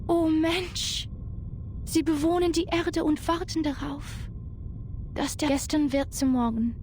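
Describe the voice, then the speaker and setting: An actress playing an entranced woman who gives a solemn, measured, dramatic recitation of apocalyptic verse in a game. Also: high voice, steady tone, a little mellow, a little hiss or hum. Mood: solemn, incantatory. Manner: incantatory, measured. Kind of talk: slow dramatic poetry recitation.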